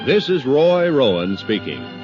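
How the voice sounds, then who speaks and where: A man's voice: medium pitch, very animated, a little slow. An American man announcing a 1950s radio show in a polished, emphatic style. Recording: dull vintage 1950s radio recording, music behind.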